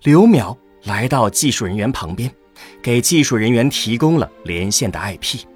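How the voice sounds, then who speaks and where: A man's voice: mid-range voice, very animated, moderate pace, breathy edge, soft background music. A man narrating a scripted science-fiction radio drama.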